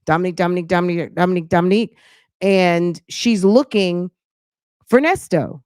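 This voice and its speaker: A woman's voice: fairly low pitch, brisk, darkish tone, clear, resonant tone, no room sound, clean studio sound. A woman hosting a casual commentary show, thinking aloud in a sassy, pointed way with rhetorical questions and emphatic repetition.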